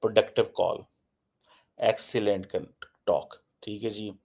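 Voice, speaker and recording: A man's voice: fairly low pitch, steady tone, a little slow, vocal fry, darkish tone, husky. A man with a Pakistani accent giving informal political commentary, emphatic and repetitive, dropping English phrases into his talk. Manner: emphatic, repeats phrases for effect. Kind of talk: informal news analysis, mixing English into his speech.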